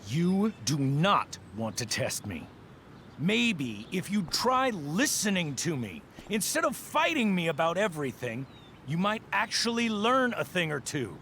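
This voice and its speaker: A man's voice: sits high, very animated, a little slow, bright, forceful tone, a little hiss or hum, heavily acted. A man playing a confrontational, authoritarian middle-aged ex-soldier and father figure in a video game cutscene. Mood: angry, commanding. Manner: commanding, confrontational.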